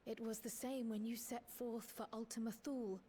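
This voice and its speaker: Polite, highish-voiced young scholar heroine played by an actress. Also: female voice, little pitch movement, moderate pace, dark tone, a little hiss or hum, lightly acted. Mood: earnest, resolute. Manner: courteous, formal, articulate, earnest. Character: polite, determined young female scholar turned magical fighter. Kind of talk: video game cutscene acting.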